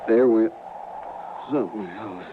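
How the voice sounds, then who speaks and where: An actor playing a badly hurt, dying cowboy, halting and pained, with bitter resignation, in a Western radio drama. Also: male voice, lowish voice, natural voice. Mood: fading, resigned. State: gravely injured, weak, labored speech. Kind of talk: old-time Western radio drama acting. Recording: tinny, noisy old radio recording.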